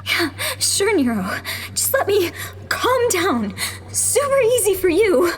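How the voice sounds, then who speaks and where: A woman playing a tense young rebel leader who talks brusquely and is quick to panic. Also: very high voice, sing-song, slight background noise, full character voice. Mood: upset. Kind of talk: audio drama acting.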